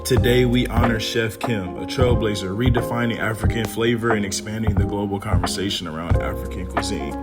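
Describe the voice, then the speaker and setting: A man's voice: on the low side, steady tone, a little fast, hardly any pauses. A man presenting as host, reading scripted narration for a documentary-style series. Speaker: host and interviewer. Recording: loud music under the voice. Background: prominent music.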